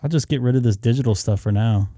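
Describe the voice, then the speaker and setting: Relaxed ham radio host, dry close sound. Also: male voice, medium pitch, fast, dry recording. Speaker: live stream host. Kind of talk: casual on-air radio chat.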